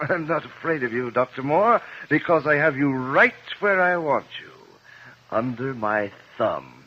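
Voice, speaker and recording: Theatrical, needling villain for vintage radio crime play; lively intonation, husky edge. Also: male voice, mid-range voice, a little slow. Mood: smug, mocking. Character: sneering, bullying male villain, wealthy and mocking. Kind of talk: 1950s radio crime drama acting. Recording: muffled old 1950s radio recording.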